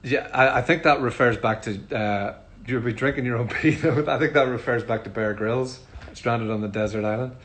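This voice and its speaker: A middle-aged man with a Northern Irish accent, casually answering fan questions in a relaxed, chatty way with frequent ums. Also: mid-range voice, moderate pace, few pauses. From Northern Ireland. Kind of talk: casual livestream Q&A.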